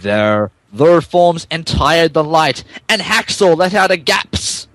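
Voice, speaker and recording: A man's voice: fairly high pitch, big pitch swings, slow pace, a bit bright, dry recording. A man giving a dramatic comic reading of fan fiction as a podcast narrator, amused and tripping over the text.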